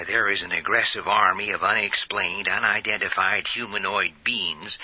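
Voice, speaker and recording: Radio play newsreader: grave, formal, measured with hesitations, low husky voice. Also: male voice, dry recording. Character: grave male emergency news announcer. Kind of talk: radio drama news bulletin. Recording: dull lo-fi audio.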